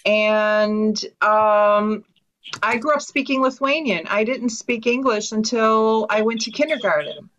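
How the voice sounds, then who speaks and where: A middle-aged woman from Chicago, an author speaking on a panel over a video call from her home study, recounting a personal story in an earnest, steady way. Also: mid-range voice, muffled recording. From Chicago, USA. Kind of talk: personal account on a panel talk. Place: home study with bookshelves, indoors.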